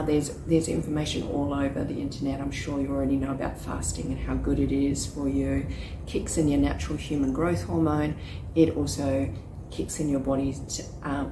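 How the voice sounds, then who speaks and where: A woman in her forties, slightly croaky, chatting in a rambling casual way with many restarts, filmed by a nearby camera in a small outdoor courtyard. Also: low-pitched. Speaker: psychic reader and vlogger. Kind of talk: casual check-in vlog. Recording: echoey with loud ambient noise.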